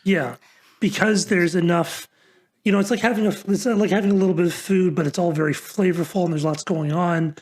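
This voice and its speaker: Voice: medium pitch, steady tone, brisk, darkish tone. An interviewer on a songwriting show, thoughtful and analytical, hesitantly piecing sentences together in a conversational way.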